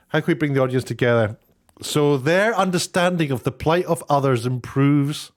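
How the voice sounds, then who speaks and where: British male commentator, casual and opinionated, mocking delivery with an incredulous, exasperated edge. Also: a little high. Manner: sarcastic, mocking. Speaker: YouTube commentator.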